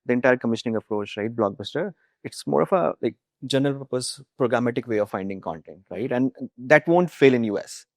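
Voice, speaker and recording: A man's voice: mid-range voice, animated, brisk, dry recording, clean studio sound. A man with an Indian accent, a startup founder talking conversationally in an interview.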